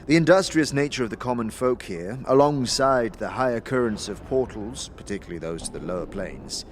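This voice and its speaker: A man's voice: mid-range voice, expressive, a little fast, slight background noise, lightly acted. An actor playing a courteous, shady male street mystic in a fantasy audio drama, with a formal and theatrical manner. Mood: sly, measured.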